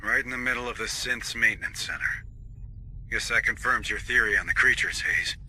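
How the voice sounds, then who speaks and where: A male actor playing a steady, dryly sardonic military squad leader in a video game. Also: medium pitch, moderate pace, slight background noise, rough recording. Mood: measured, wary. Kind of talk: video game cutscene dialogue.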